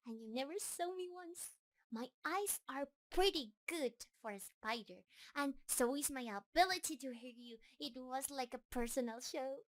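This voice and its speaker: A woman playing a shy, gently obsessive spider hybrid in an intimate roleplay audio, speaking in a nervous, dreamy way. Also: high voice, rough recording. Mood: fond, earnest.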